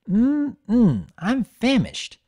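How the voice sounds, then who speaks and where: A man voicing a cheerful, squeaky earth pony mare in a story reading. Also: huge pitch swings, drawn-out words, warm tone, heavily acted. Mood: chirpy. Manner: squeaky, chirpy.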